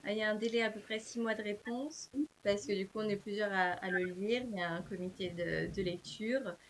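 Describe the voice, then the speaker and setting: Woman, publisher guest, halting speech full of fillers, faint hiss on the line. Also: medium pitch, fairly even intonation. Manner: hesitant, many fillers. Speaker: publisher and author. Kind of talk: casual interview answers.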